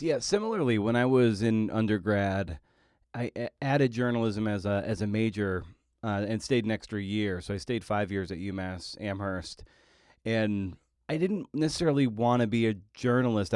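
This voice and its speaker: A man's voice: on the low side, darkish tone, smooth, dry recording, clean studio sound. A man hosting an interview podcast in a casual, slangy, laid-back way.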